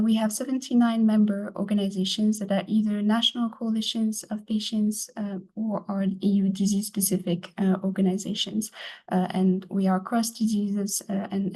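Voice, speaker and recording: A woman's voice: medium pitch, warm tone, low-quality recording. A woman representing a patient organization, presenting formally on a webinar with frequent fillers. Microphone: video call.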